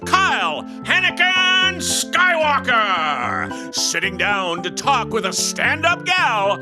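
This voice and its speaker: An announcer reading a scripted podcast introduction slowly and in a sing-song way. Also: medium pitch, wildly swooping pitch. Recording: voice over guitar music.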